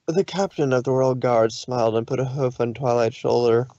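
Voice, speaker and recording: A man's voice: medium pitch, moderate pace, dark tone, no room sound, muffled recording. A man narrating a fan fiction story aloud in an informal read-through.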